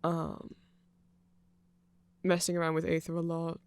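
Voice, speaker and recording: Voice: mid-range voice, completely flat delivery, a little slow, clear, resonant tone, no room sound. Dry, rambling close-up ASMR explainer. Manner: rambling, informal, full of asides. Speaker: ASMR audio creator.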